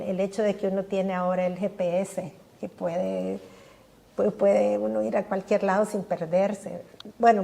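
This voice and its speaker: A woman's voice: mid-range voice, vocal fry, dark tone. An older woman speaking as a guest in a podcast interview, enthusiastic and often stumbling over repeated words. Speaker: guest scientist.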